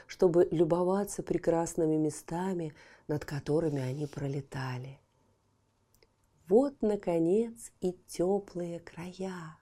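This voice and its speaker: Lady reading a kids fairy tale, very warm dark tone, expressive, goes hushed sometimes. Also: on the low side. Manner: expressive storytelling, dropping to a hush at times. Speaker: audiobook narrator. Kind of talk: scripted fairy tale narration for children.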